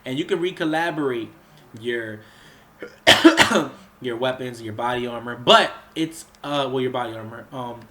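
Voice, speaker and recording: A man with a cold who keeps clearing his throat, casually talking through a game's crafting menus with lots of fillers and restarts. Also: medium pitch, measured pace, fairly bright tone. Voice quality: sounds a bit off from a cold. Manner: rambling, with many fillers and restarts. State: under the weather, coughing and clearing his throat. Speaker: gaming channel host. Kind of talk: casual gameplay walkthrough commentary.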